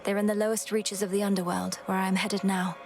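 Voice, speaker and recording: Woman doing a young witch princess, earnest, formal, composed, mid pitch, loud music. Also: level tone, quick pace, close to an everyday voice. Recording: loud game music behind.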